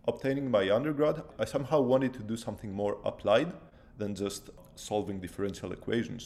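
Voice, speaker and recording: Man, Greek accent, thirties, gravelly fry, lowish voice, thoughtful step-by-step explainer. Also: darkish tone. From Greece. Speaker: guest, postdoctoral researcher. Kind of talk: interview answers.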